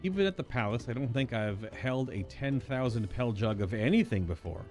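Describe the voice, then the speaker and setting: A man reading a spirited young catlike princess from a game, raising his voice higher and sounding eager. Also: animated, normal speed, a little mellow, faint music behind, lightly acted.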